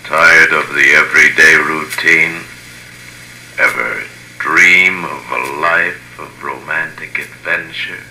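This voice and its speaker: A man's voice: a bass voice, sharp tone, exaggerated voice, rough recording. An actor as a portentous male announcer introducing an adventure series in dramatic 1950s radio style. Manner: slow, portentous. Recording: old 1950 radio, faint noise.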